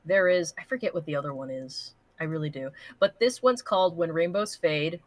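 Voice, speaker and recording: A woman co-hosting a livestream with casual banter and commentary, animated, blunt and sweary. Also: low-pitched, a little fast, dry recording. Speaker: co-host of a livestream.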